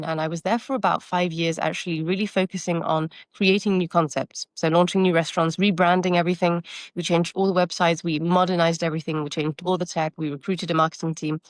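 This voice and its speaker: A woman's voice: lowish voice, no room sound. A woman in her thirties who grew up in France, with Taiwanese as her first language, answering interview questions reflectively with fillers and restarts. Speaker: marketing consultant and guest.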